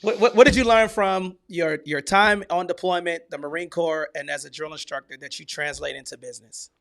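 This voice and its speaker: A man's voice: a little high, a little fast, creaky, fairly bright tone. A man hosting a podcast, interviewing in an informal, jovial, bantering way. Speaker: podcast host.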